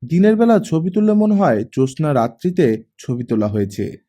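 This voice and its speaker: A man as a calm, self-assured young man. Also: fairly high pitch, fairly quick. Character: calm young engineer, a male hobby photographer, self-assured. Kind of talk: audiobook character reading.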